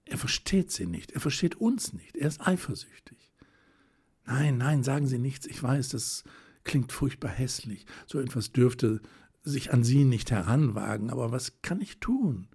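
A man voicing an ecstatic aristocratic lady, a baroness who speaks in a hurried, breathless rapture, in an audiobook reading. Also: mid-range voice, animated, creaky, breathy edge. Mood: fervent.

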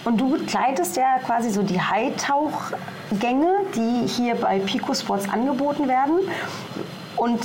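A woman with a fairly high voice chats as a podcast host, interviewing casually and reacting keenly. There is clear ambient noise around her, as if recorded out in the open. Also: a little slow.

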